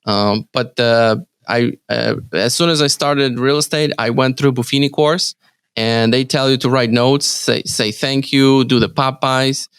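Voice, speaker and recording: A man from Ukraine speaking English as his second language with a Ukrainian accent, answering interview questions in a halting way with many restarts and fillers. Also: medium pitch, fairly even intonation, fairly bright tone, smooth, dry recording, studio quality. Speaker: real estate team leader, guest.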